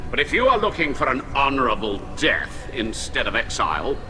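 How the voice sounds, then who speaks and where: Stern alien prosecutor, sharp forceful tone, lively intonation, officious, clipped, hostile, video game dialogue. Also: male voice, medium pitch, fairly quick, slight background noise, exaggerated voice, low-quality recording. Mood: cold, accusing.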